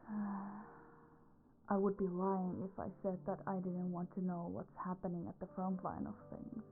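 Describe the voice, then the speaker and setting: A woman playing a possessive, teasing mafia boss in an intimate audio roleplay, commanding and often dropping toward breathy whispers. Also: medium pitch, narrow, tinny audio, natural voice. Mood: cold, guarded.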